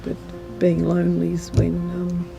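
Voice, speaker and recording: A woman's voice: lowish voice, monotone, measured pace, vocal fry, music in the background, low-quality recording. An older woman giving a reflective, hesitant personal account in an interview.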